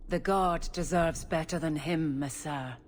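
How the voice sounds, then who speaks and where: A woman's voice: low voice, measured pace, a little hiss or hum. A woman playing a steadfast, no-nonsense guard officer in a fantasy game, speaking firmly and earnestly. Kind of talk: dramatic game cutscene acting.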